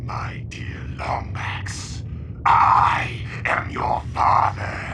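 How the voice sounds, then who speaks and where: An actor playing a gloating, pompous armored space villain with melodramatic delivery in a video game. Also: male voice, very slow, far from the mic, background noise. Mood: gloating menace.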